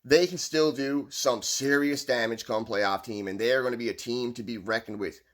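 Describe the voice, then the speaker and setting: A man's voice: mid-range voice, steady tone, normal speed. A man with a Newfoundland accent, giving upbeat, rambling hockey commentary on his own channel. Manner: earnest, upbeat, rambling with restarts. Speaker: hockey vlogger.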